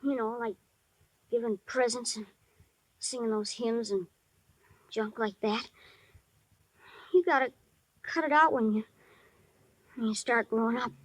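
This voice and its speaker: An actor playing a shy but tough-talking ten-year-old boy in 1950s radio drama, with halting, slangy speech. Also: mid-range voice, no echo, close to an everyday voice. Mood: scornful, tough. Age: child figure, almost eleven. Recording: dry vintage 1950s radio recording.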